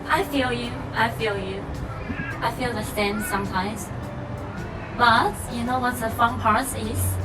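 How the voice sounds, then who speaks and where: A young woman vlogging to her viewers in an unhurried, chatty way, full of little fillers and encouragement. Her first language is Mandarin, and her speech stays calm and slow. Music plays clearly behind her. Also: mid-range voice, poor audio. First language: Mandarin Chinese. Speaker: travel vlogger. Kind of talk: casual vlog talk to camera.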